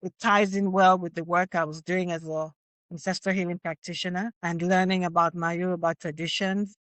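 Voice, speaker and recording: A woman's voice: lowish voice, little pitch movement, brisk, fairly bright tone, no room sound, low-quality recording. An older woman, an elder and healer, speaking as an interview guest in a contemplative way, repeating phrases for emphasis. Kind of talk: reflective interview conversation.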